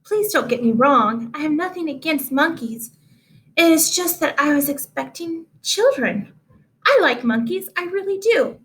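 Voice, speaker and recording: Woman reader doing flustered sweet storybook teacher lady, high voice, really emphatic. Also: animated, a little slow, a bit bright. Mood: apologetic, sweet. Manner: exclaiming, emphatic. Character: flustered, nice but mistaken female schoolteacher.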